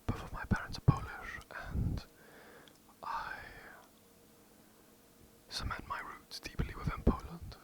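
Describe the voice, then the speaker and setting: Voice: measured pace, long pauses, fairly bright tone, rough recording. A young adult with an English accent, whispering a casual, rambling history overview for ASMR. The talk is loose and self-mocking, with swearing and asides. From England. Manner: casual, rambling, swears, giggles at own slips. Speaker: ASMR creator. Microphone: poor quality home mic.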